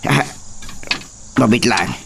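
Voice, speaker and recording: A man's voice: mid-range voice, slow, creaky, a bit bright, heavily acted. A man playing a reassuring middle-aged peasant father in an audio soap drama. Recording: distant mic, faint background noise.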